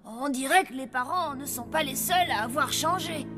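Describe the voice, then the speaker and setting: Very high, cheeky cartoon kid voiced by a woman. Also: moderate pace, music in the background, close to an everyday voice. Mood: wary, questioning. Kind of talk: French cartoon dubbing.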